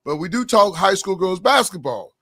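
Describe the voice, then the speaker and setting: A man co-hosting a casual high school basketball podcast, a little hoarse and rambling, repeating words as he goes. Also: very animated, normal speed, bright, forceful tone. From Oklahoma. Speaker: podcast co-host. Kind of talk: casual sports chat.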